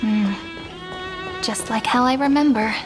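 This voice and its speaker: An actress playing a gentle, wistful young woman in a game cutscene, speaking softly and earnestly. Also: fairly high pitch, a little slow, over music, natural voice. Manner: soft, earnest and reflective. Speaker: game character. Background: soft game score.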